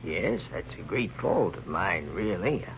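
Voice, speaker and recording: Nervous, evasive husband for vintage radio crime drama. Lowish, very animated, hesitant, flustered, feigning innocence. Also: male voice, a little slow, creaky, exaggerated voice. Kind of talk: old-time radio crime drama acting. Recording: muffled, noisy old radio recording.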